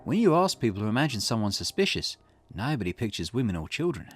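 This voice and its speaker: A man's voice: on the low side, big pitch swings, fast, husky, no echo, faint music behind. A man voicing a shrewd, self-assured sleuth in a visual novel, explaining with teasing confidence. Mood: confidently explaining.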